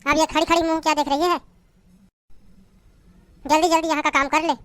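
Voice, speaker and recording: A bitter, scolding mother-in-law, voiced by a woman in a domestic drama. She hurls insults and complaints in a high-pitched voice. The recording is dry, with no room echo. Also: level tone, a little fast, lightly acted. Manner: taunting, berating, insulting. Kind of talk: family drama acting.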